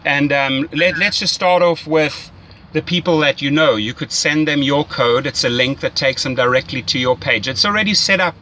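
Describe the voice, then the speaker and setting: A man's voice: on the high side, moderate pace, pushed and bright, a little hiss or hum, rough recording. A man giving casual, enthusiastic, salesy coaching as a rideshare mentor, often checking in with "right?". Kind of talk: casual coaching phone call.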